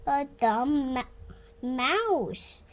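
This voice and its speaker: A girl of about six reading a children's storybook aloud, halting word by word, stumbling and correcting herself. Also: high-pitched, lively intonation, faint music behind. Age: child, about 6 years old. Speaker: young reader practising reading aloud.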